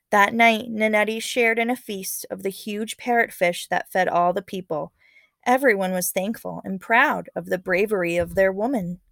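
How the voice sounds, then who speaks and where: A woman teacher reads a children's story aloud at a middle pitch. Her delivery is expressive, slipping into casual and encouraging commentary. The recording is dry, without room sound. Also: no echo. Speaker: teacher reading aloud to students.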